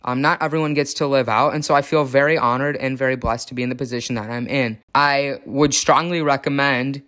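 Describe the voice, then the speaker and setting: A young man from the Chicago area speaks straight to his viewers in a relaxed personal vlog. His voice is smooth and resonant, and it sits at a middle pitch. He is open and upbeat, with a self-deprecating sense of humor, and his voice is in the middle of changing, so it cracks now and then. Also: fairly quick. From Chicago area, USA. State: voice changing on testosterone, occasional cracks. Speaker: vlogger.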